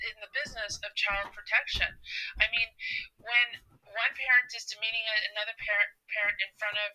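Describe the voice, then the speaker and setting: A woman's voice: fairly high pitch, bright, forceful tone. A woman making an insistent, argumentative complaint over a phone call. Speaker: caller, parent filing a complaint. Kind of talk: phone conversation. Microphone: telephone.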